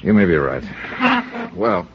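A man's voice: on the low side, wildly swooping pitch, unhurried, creaky, a little hiss or hum, full character voice. An American man playing a tough, wry insurance investigator in a 1950s radio detective drama. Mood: wry, probing. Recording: dull old radio recording, faint music.

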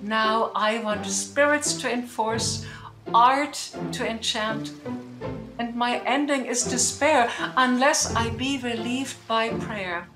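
A woman playing a commanding, grave, aging sorceress in a Shakespearean radio play, speaking measured, declamatory verse. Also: on the high side, fairly even intonation, over music. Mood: solemn, pleading. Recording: roomy online recording.

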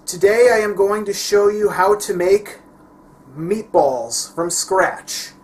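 A man's voice: high-pitched, takes it slowly, breathy edge. A young man hosting a casual home cooking tutorial in his kitchen, talking through each step with frequent restarts and self-corrections. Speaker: cooking show host.

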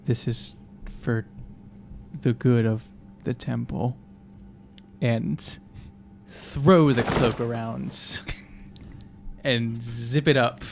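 A man's voice: mid-range voice, fairly even intonation, extremely slow, creaky, pauses often, over music. A man chatting casually as a player in a tabletop role-playing game. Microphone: microphone at the game table.

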